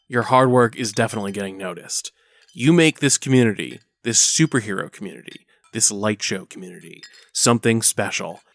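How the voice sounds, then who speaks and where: Low-voiced, earnest theatre director. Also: male voice, fairly bright tone. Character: diplomatic male superhero theatre director, encouraging. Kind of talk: audio drama dialogue, speech to cast.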